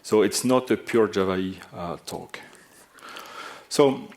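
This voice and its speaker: A man's voice: low-pitched, measured pace, a little mellow. Belgian man with a French accent, hesitant conference talk. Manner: hesitant, many filler sounds. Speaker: conference speaker. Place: large conference hall stage.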